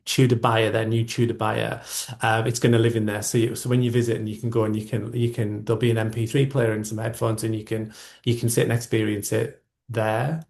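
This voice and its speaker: Creaky, flat-toned man chatting. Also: fairly low pitch, a little fast. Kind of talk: casual explaining on an online call.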